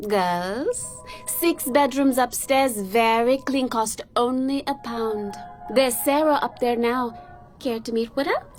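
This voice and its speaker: High-voiced, cheeky Jamaican bar manageress. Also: female voice, soft background music. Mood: teasing, sly. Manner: teasing, unhurried, frank. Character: cheeky, warm-hearted young Jamaican woman managing a bar. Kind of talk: radio drama acting.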